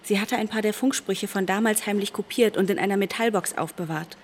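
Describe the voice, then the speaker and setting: A granddaughter, played by a woman, lays out a family mystery in radio drama style. Her pitch is middling, with faint background sound. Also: brisk, a little hiss or hum, natural voice. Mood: intent, explaining. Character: granddaughter uncovering her grandmother's wartime secret.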